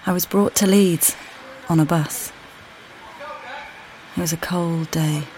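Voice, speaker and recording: An actress playing a weary, displaced mother who calmly guides the listener in a walking play, speaking plainly and directly to them. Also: on the low side, slow pace, slight background noise, poor audio. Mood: reflective. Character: displaced mother, weary and uncertain, far from home. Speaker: audio guide narrator. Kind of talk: immersive walking-play narration.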